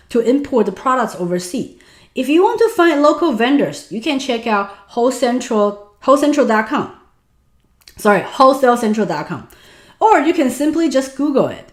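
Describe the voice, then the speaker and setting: A woman's voice: a little high, reverberant. A woman in her thirties from Taiwan, native Mandarin speaker with a Taiwanese accent, earnestly presenting a webinar on online selling and asking viewers to respond in the chat. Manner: animated, earnest explaining, asks audience to type in chat. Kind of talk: online business webinar talk.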